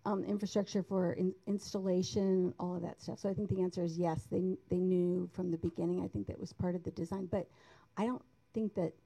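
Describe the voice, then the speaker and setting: A woman on an artists' panel, explaining in a measured way. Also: on the low side, level tone, gravelly, warm tone, poor audio. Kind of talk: panel discussion answer.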